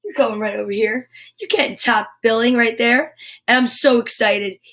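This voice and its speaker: A woman with a high voice hosts a live show for children. She talks straight to her viewers in an upbeat, chatty style. Her delivery is exuberant, emphatic and encouraging. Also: normal speed, a bit bright. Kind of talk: upbeat live chat to viewers, reading picture books aloud.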